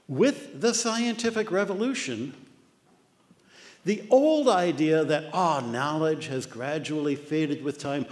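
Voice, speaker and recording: Older American man, fairly high voice, lively lecturer in a big echoing hall. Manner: animated storytelling with mock voices. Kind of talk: illustrated talk. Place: dark lecture hall with projector screen. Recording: long hall reverb.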